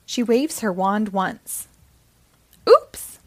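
Slow, animated woman reading to children. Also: on the high side. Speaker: children's story reader. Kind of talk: expressive read-aloud narration for young children.

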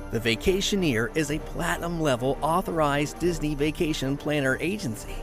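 A man's voice: on the high side, gravelly. A man reading a scripted podcast sponsor message as an announcer. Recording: prominent music bed.

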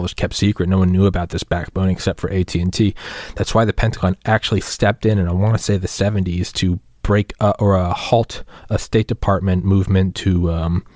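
A man with a low-pitched voice delivers a loose solo podcast rant. He rambles conversationally, peppering it with slang and the odd swear word. The sound is dry, with very little room around the voice. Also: darkish tone, no room sound. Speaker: podcast host. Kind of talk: casual solo rant monologue.

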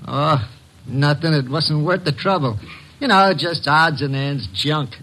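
An American actor in an old radio drama playing a nervous, whiny petty thief who stammers in ungrammatical slang. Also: male voice, on the high side, unhurried, bright, forceful tone, exaggerated voice, poor audio. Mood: evasive, jittery.

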